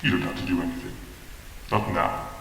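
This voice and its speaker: An eerie male creature, acted by a man for an audio drama, speaks from far off in a long cavernous reverb. The low voice coaxes and insinuates, with noise around it. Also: fairly even intonation, fairly bright tone. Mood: coaxing. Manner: slow, coaxing, insinuating.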